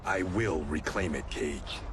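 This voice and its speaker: A man's voice: a bass voice, slow pace, noisy background. An actor playing a stern, proud former gangster swordsman in a video game, serious, terse and disapproving. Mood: irritated, proud. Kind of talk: video game cutscene acting. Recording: music behind the voice.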